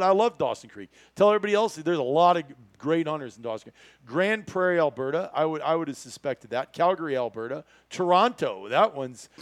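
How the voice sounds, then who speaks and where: American male podcast host, middle-aged, slightly high voice, folksy and joking, casual delivery. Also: no room sound. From Iowa, United States. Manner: chatty, joking, folksy exclamations.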